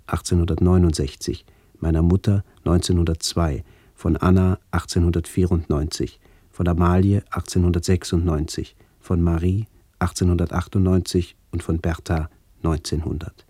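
Middle-aged man, very low gravelly voice, dark tone, sober scripted reminiscence. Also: steady tone, normal speed, dry recording. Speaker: author-narrator of a memoir radio play. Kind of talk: scripted literary narration, reminiscence.

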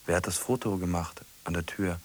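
An actor playing a solitary man musing aloud in a radio play, halting, repeating phrases and muttering questions to himself. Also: deep voice, darkish tone, lightly acted. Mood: pondering, resigned. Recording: music playing behind the voice.

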